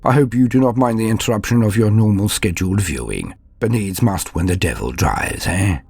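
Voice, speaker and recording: A low-voiced man narrates fantasy lore with theatrical weight and formal diction. His voice is gravelly and swings widely in pitch, giving the scripted delivery a portentous, dramatic shape. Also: expressive, fairly quick, a little mellow, no room sound. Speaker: host of a fantasy lore channel.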